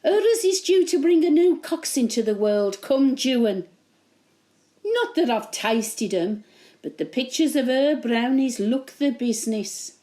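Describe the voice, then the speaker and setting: A woman's voice: high voice, lively intonation, unhurried, dark tone. A woman playing a chatty, opinionated elderly working-class widow with a Birmingham accent, rambling confidingly in a dramatic monologue. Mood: fond, gossipy. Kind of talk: dramatic monologue in character, addressed to an absent husband.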